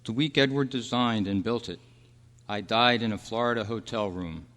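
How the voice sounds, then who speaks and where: A man acting in a staged radio drama, with earnest, measured storytelling. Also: lowish voice, fairly bright tone, natural voice. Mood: wistful, earnest. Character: Roy, dead thirty-three-year-old man's wistful, peaceful spirit. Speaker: actor and announcer. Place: live club meeting in a hall. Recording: echoey hall with long reverb.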